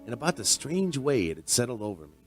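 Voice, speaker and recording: A man's voice: medium pitch, big pitch swings, quick pace, soft background music, lightly acted. A man playing a chatty, folksy bartender who tells his strange tale in a conversational radio drama style. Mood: reflective storytelling. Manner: conversational, storytelling. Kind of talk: audio drama narration and dialogue.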